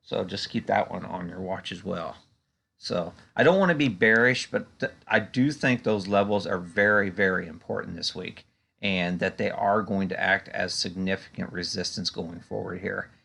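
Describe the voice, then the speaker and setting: Male analyst, low gravelly voice, conversational and halting, talking through charts off the cuff. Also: bright, forceful tone. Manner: conversational, hesitant, frequent "you know". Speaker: stock market analyst and video host. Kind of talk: unscripted market chart commentary.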